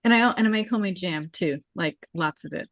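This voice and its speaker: A woman's voice: lowish voice, brisk, no echo. A woman taking part in an online table read, speaking plainly as a participant and reader. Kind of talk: casual introduction and scripted narration.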